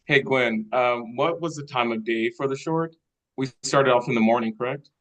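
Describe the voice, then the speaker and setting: Medium-pitched man giving casual feedback. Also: moderate pace, a bit bright, poor audio. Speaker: participant asking questions and giving feedback. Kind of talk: casual conversation. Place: online video call.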